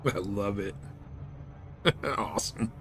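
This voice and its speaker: A man's voice: fairly low pitch, steady tone, slow pace. A man giving casual live commentary on a game, chatting and thinking aloud. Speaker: game streamer.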